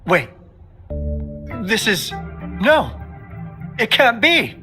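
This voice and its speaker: An actor playing a breezy, sly young intelligence agent in a video game, with casual, teasing, theatrical delivery. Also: male voice, high-pitched, wildly swooping pitch, very slow, over loud music, exaggerated voice. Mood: alarmed. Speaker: intelligence consultant character.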